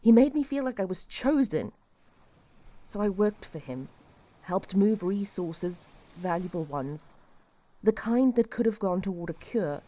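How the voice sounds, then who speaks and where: A woman acting a despairing refugee who confesses old guilt in a reflective, halting way, in an audio drama. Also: medium pitch, lively intonation, mellow. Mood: regretful, defeated.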